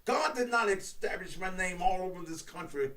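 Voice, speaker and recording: A man in his sixties, a radio host and preacher, talking in a slow, emphatic preaching cadence. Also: animated, bright, forceful tone, distant mic. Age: 60s. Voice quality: baritone. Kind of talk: preachy live-stream talk.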